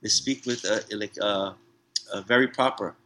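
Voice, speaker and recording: A man's voice: fairly low pitch, moderate pace, pushed and bright, slight background noise. A man who works as a traditional tattoo artist, chatting casually in an interview and rambling with many restarts and "you know"s. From Hawaii, raised in San Diego.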